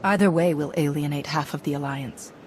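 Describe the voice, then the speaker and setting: An actress playing a resolute commander in a video game, speaking in a direct, composed manner. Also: female voice, low-pitched, fairly quick, darkish tone, a little hiss or hum, close to an everyday voice. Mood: resolute, dry. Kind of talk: video game cutscene acting.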